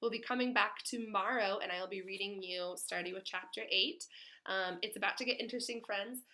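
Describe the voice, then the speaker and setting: A woman's voice: mid-range voice, a little fast, sharp tone. A woman reading a children's storybook aloud expressively, in the way of a teacher.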